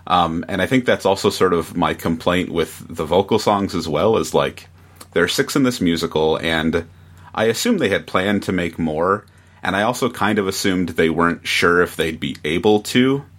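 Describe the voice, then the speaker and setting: Guy with a real deep voice, measured and analytical, chatting on a podcast as a guest. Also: fairly bright tone. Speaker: guest reviewer. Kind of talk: casual podcast discussion.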